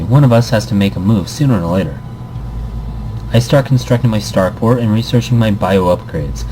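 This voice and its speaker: Mellow-voiced man playing and reading a game. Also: fairly low pitch, slight background noise, poor audio. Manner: stumbles and repeats words while reading. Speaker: gaming video host. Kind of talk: casual let's play, reading visual novel text aloud with commentary.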